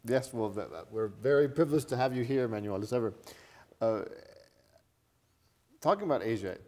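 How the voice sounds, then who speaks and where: A man's voice: mid-range voice, animated, normal speed, dark tone, big-room sound. A middle-aged man hosting an interview, asking questions courteously in a library studio set. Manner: courteous, with brief acknowledgements. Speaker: interview host.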